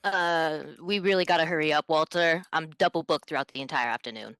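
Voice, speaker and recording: A woman's voice: deep voice, brisk, fairly bright tone, smooth, dry recording. A woman playing a harried, matter-of-fact pediatrician in a live comedy table read.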